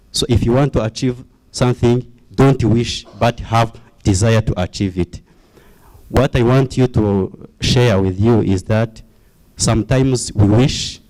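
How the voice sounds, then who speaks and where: A man's voice: on the low side, mellow, rough recording. A man giving an earnest motivational address, repeating his key phrases. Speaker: forum speaker.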